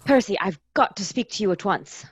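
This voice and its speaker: A woman playing an urgent, loyal gentleman friend in an amateur radio play read, hurried and exclamatory. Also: medium pitch, expressive, husky.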